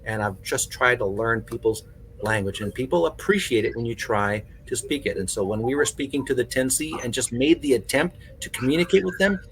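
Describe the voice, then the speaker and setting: A man in casual podcast conversation, telling stories in an animated way with plenty of conversational fillers. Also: mid-range voice, brisk, a little hiss or hum, rough recording. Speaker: podcast panelist. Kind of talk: casual chat.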